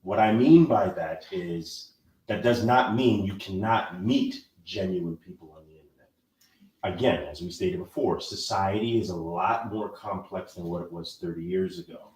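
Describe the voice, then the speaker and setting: A man's voice: sits low, moderate pace, darkish tone, roomy sound, recorded from a distance, rough recording. A man hosting a podcast, lecturing in an emphatic, preachy way during a casual discussion. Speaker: podcast host.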